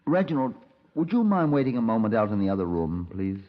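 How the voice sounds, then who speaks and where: An actor playing an impatient, sardonic police detective in a 1950s radio crime drama, clipped, dry and dramatic. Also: male voice, on the low side, very animated, a little fast. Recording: dull, band-limited 1950s radio recording.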